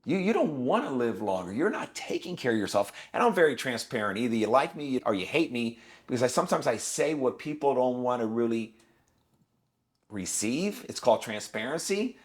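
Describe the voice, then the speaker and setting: Man, 50s, podcast guest, blunt and emphatic, mid-pitched. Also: big pitch swings, normal speed. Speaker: guest, business owner. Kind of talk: podcast conversation.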